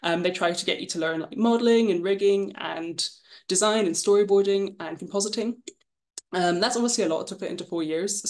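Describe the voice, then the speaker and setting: A woman in her twenties, from Scotland, casually presenting her path as an illustrator, chatty and self-deprecating, with frequent ums. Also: medium pitch. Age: 20s. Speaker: guest illustrator.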